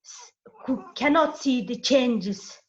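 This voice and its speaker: A woman's voice: high voice, lively intonation, takes it slowly, highs cut off. A woman in a group discussion on a video call, searching for words and using many fillers. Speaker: study group participant.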